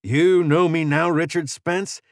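A man voicing a cold, vengeful gunslinger back from the dead, with a raspy Old West drawl, in an audiobook western. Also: fairly high pitch, steady tone, unhurried, no echo, clean studio sound, pitched above the performer's own voice, natural voice. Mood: grim, accusing.

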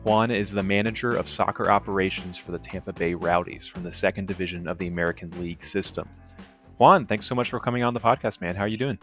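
A man's voice: low voice, quick pace, a bit bright, faint music behind. A man hosting a podcast interview, upbeat and friendly, with frequent ums and easy laughter. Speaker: podcast host.

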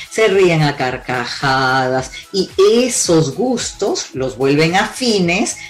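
Low-voiced emphatic woman. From born in Cuba, raised in Peru. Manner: emphatic, lively storyteller with sweeping declarations. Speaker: relationship advice guest. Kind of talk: confident advice-giving interview talk.

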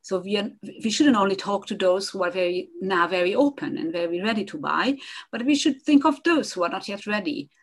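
Gravelly-voiced woman, animated. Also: medium pitch, brisk. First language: Hungarian. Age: middle-aged. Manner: animated, with frequent restarts and repeated words. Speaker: guest, marketing consultant. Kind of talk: conversational podcast interview.

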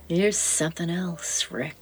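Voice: medium pitch, fairly bright tone, husky, heavily acted. A performer playing a mocking, menacing underworld card-game boss in an audio drama.

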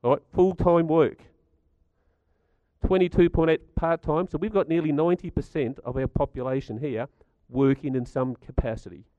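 A middle-aged man with a croaky voice, giving a chatty talk to a live audience and asking them questions. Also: a little high, normal speed, mellow, no room sound, dull audio. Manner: chatty, stats-driven, asks the audience questions. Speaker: speaker at a community gathering.

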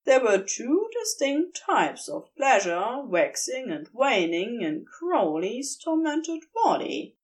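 A woman reading a fan fiction story aloud as a podfic, in a slow, expressive and intimate way. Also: fairly high pitch. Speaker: podfic reader. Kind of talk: scripted story narration.

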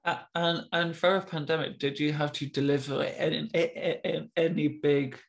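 Young male interviewer, stammers, flat delivery. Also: on the high side, vocal fry. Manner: stammers, with repeated words and long pauses. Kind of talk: casual conversation.

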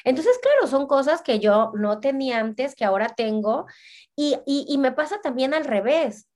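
A woman's voice: high-pitched, very animated, normal speed, darkish tone. A woman, talking animatedly as a guest and often repeating words as she searches for them. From Mexico. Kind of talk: casual conversation.

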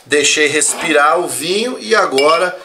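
A man's voice: on the high side, lively intonation, measured pace, bright, forceful tone, slight background noise. A man hosting a podcast in a friendly way, reading out viewers' greetings and chatting with his guest. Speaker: podcast host. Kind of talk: casual chat.

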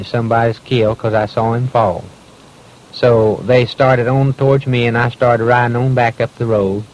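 A man with an East Tennessee accent, halting and folksy, recalling events he witnessed. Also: mid-range voice, darkish tone. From Tennessee, United States. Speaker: eyewitness. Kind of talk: unscripted personal recollection. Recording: noisy old field interview recording.

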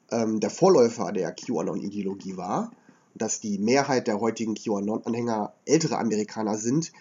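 A man with a slight cold and a hoarse edge, explaining history as a podcast host in a measured lecturing way with frequent filler sounds. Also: fairly low pitch, a little mellow, muffled recording.

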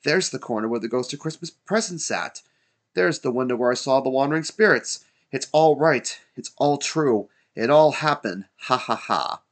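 Storybook role: giddy reformed old miser, male narrator acting, exclaiming and repeating himself, very animated pitch. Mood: giddy joy. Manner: breathless exclamations, rapid gleeful repetition.

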